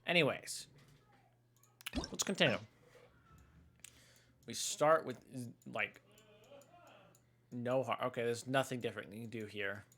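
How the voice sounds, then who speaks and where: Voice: medium pitch, lively intonation, measured pace, pauses often, fairly bright tone. A video game streamer giving casual, rambling commentary with joking asides.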